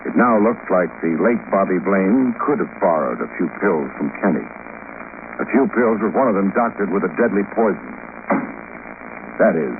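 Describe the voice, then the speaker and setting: An actor playing a cool, skeptical private investigator in 1950s radio detective style, dry and world-weary as he probes and narrates. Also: low voice. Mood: matter-of-fact narrating. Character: cool, skeptical male private investigator, hard-boiled and wry. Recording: scratchy, noisy, narrow-band 1950s radio recording.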